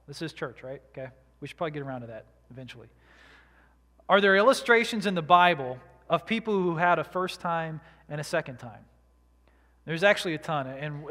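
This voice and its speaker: High-voiced preacher in a reverberant hall. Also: male voice, a bit bright. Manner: conversational, repeats words, acts out quoted voices. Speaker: pastor. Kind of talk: sermon. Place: church sanctuary.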